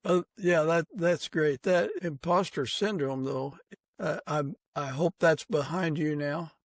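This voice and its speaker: A man hosting a casual interview, speaking haltingly with brief supportive remarks. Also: a little high, no room sound, studio quality. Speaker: podcast host, interviewer.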